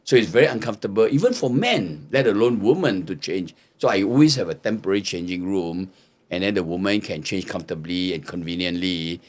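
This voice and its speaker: An older man from Singapore, a podcast guest telling lively stories and mimicking hawkers' cries. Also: sits low, a little fast. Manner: lively storyteller, mimics market hawkers' calls. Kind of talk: storytelling interview answers.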